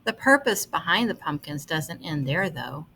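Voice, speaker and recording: A woman with a somewhat low voice narrates a written article aloud. Her phrasing is measured and deliberate. A faint noise sits behind the recording. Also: big pitch swings, bright, forceful tone. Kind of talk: scripted read-aloud narration.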